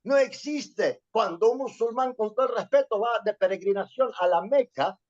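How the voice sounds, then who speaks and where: A man's voice: sits high, normal speed, darkish tone. A man from Venezuela giving a history lecture, often checking in with listeners and repeating himself as he searches for words. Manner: frequent questioning "¿sí?" and repetitions. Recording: dull lo-fi sound.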